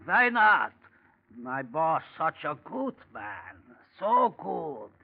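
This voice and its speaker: A high, creaky male voice on a muffled old radio drama. He is a humble workman, halting in broken English, deferential with a sly edge and big swings in pitch. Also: big pitch swings, full character voice. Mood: drunk, bitterly sly. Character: humble foreign craftsman, deferential but slyly resentful male employee.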